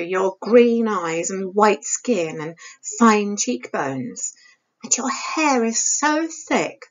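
A woman's voice: a little high, a bit bright, highs cut off, voice pushed up, heavily acted. A woman voicing an eager, admiring teenage younger sister in a storybook reading, gushing and earnest.

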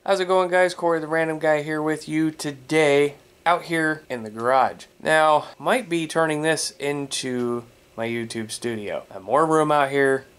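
A man in his thirties with a head cold, chatting casually and excitedly in a garage workshop, often chuckling as he talks to the camera. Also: fairly high pitch, measured pace, clear, resonant tone. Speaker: YouTuber. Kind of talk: casual vlog chat. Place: large cluttered wooden garage workshop, indoors. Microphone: camera mic, handheld selfie framing.

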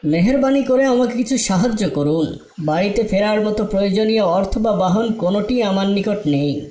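A man's voice: high voice, lively intonation, moderate pace, mellow. A man voicing an opinionated, needy traveller in an Islamic moral story cartoon, earnest and emphatic. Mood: humble, pleading. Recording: echoey, reverberant room sound.

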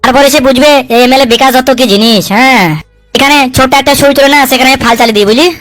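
A high, very fast-talking cartoon politician played by a woman, domineering and hot-tempered. He hectors and swears coarsely, throwing out threats and sharp tag questions, over faint music. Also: no room sound, lightly acted. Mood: angry, threatening. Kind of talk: comic cartoon dub dialogue.

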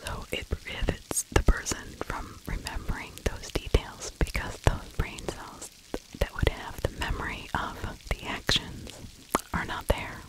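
Middle-aged female ASMR narrator, whispered throughout, unhurried, halting, close-miked. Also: low-quality recording. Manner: hushed, halting delivery with pauses. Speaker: ASMR true crime narrator. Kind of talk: whispered ASMR true crime commentary. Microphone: close microphone with fluffy windscreen.

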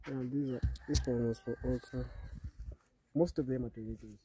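A man's voice: mid-range voice, fairly even intonation, normal speed, warm tone. A man casually explaining things as a guest guide outdoors on a farm, a little hesitant and informal. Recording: noisy outdoor farm recording. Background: birds, chickens, outdoor noise.

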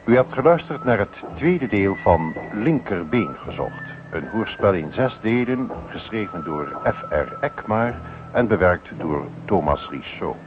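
Slow, lively male radio announcer. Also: lowish voice. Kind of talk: formal reading of closing credits. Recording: tinny old radio recording, faint noise.

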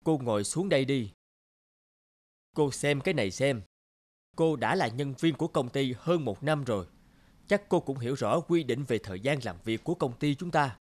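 A man voicing a stout, stern middle-aged company boss in an animated cartoon, speaking in a measured, lecturing way. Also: medium pitch, lightly acted. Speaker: voice actor. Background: background music.

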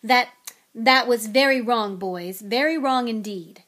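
A woman's voice: on the high side, lively intonation, moderate pace, close to an everyday voice. A woman voicing a mild, tired middle-aged father in a storybook, with a hesitant, mumbling manner. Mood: faltering. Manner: hesitant, stammering, sighing, mumbling.

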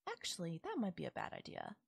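A sweetly controlling fairy woman, acted by a voice actress for an intimate audio roleplay. Her voice is husky and close, with a crackle of fry and a very dry sound. She coaxes and teases with doting, possessive affection. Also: fairly low pitch, wildly swooping pitch, fast talker, no room sound, exaggerated voice. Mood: affectionate, musing. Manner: coaxing, affectionate, softly teasing. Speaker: voice actor. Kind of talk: intimate audio roleplay, ASMR-style acting.

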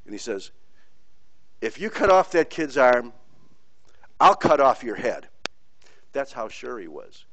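A man's voice: mid-range voice, sing-song, measured pace, pauses often, a bit bright, low-quality recording. A middle-aged man giving an informal online pathology lecture, rambling through stories and speaking bluntly. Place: teaching from Puerto Rico.

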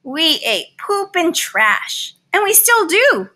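A woman voicing a boastful, theatrical male housefly, a proud father who scorns butterflies, in an animated children's picture book read-aloud. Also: very high-pitched, takes it slowly, exaggerated voice, low-quality recording. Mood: matter-of-fact.

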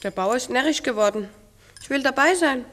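Excitable farm maid, highish voice. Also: female voice, a little fast. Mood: excited curiosity. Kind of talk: 1960s German-language radio play acting. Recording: old radio recording, faint noise, tableware clinking.

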